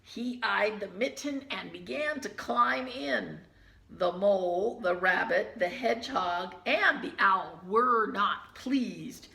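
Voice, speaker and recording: A woman teacher reading a children's picture book aloud, gently pausing to point out the pictures in hushed asides. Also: medium pitch, creaky, reverberant.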